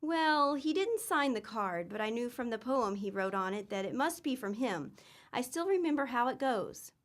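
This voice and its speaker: A woman's voice: on the high side, expressive, moderate pace, lightly acted. An actress playing a sweet, earnest young woman in an old-time radio comedy, telling a story and pleading. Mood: fond.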